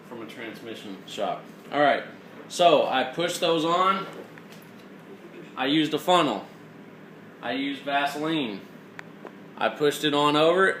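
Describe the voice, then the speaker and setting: A man's voice: medium pitch, a bit bright. A man giving an informal, hands-on mechanical tutorial, explaining step by step in a plainspoken way. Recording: roomy echo, clear background noise.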